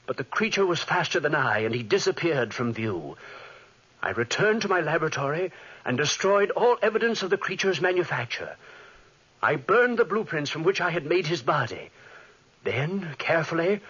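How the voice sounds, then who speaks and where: Young obsessed scientist, proud and intense, swooping delivery, dull old radio horror recording. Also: male voice, a little high, pushed and bright, slightly rough, full character voice. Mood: grave, urgent. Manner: intense, dramatic. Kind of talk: 1940s radio horror acting.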